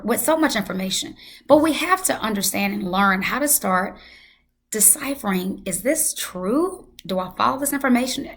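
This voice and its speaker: A woman hosting a health channel, hoarse from losing her voice, talking casually and emphatically to her viewers. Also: mid-range voice. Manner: emphatic, repeats for effect. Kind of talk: casual talk to camera.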